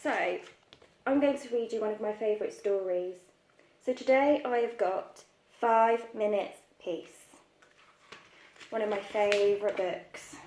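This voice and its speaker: A woman tells a picture-book story to preschoolers. Her mid-range voice moves slowly and expressively, with simple direct address. The room around her is noticeably echoey. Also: mid-range voice, a little mellow. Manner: addresses small children simply. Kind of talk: slow, expressive children's story reading.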